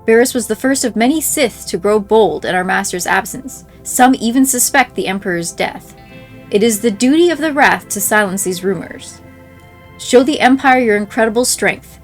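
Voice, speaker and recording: A woman's voice: a little high, expressive, normal speed. Woman reading game messages aloud over music. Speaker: game playthrough creator reading in-game mail aloud.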